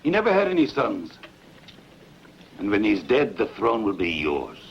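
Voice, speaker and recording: A man's voice: on the low side, wildly swooping pitch, moderate pace. A man playing a ruthless, bombastic barbarian warlord and father, impatient and scheming, in a film dub. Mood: cynical. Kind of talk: dubbed sword-and-sandal film dialogue. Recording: muffled lo-fi dub with faint noise.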